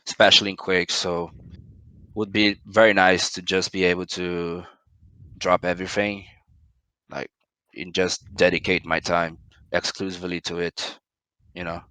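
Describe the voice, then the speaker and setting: Brazilian male gamer, very low voice, dry home recording, thoughtful and halting. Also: little pitch movement, sharp tone, dry recording. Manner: thoughtful, searching for words, many fillers. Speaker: pro esports player guest. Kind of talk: casual interview over voice chat.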